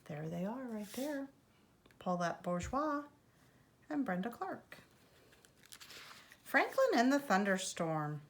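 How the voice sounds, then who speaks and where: A woman preschool teacher reading a children's storybook aloud in an expressive, deliberate way. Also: mid-range voice, slight background noise. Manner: animated, deliberate delivery for young children.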